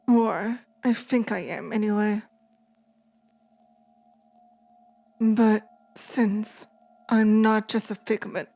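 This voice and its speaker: Woman acting a slow-speaking, lonely demon girl. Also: medium pitch. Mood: tired, musing. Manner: slow, hesitant, tender, softly pleading. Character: lonely, clingy female sleep paralysis demon, wistful and possessive. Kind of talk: intimate ASMR roleplay monologue.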